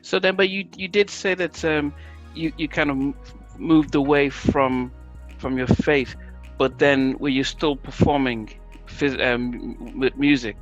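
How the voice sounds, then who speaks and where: A man hosting an interview, asking questions in a halting way with repeated words. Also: mid-range voice, fairly even intonation. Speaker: interviewer host. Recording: dull lo-fi audio with faint music.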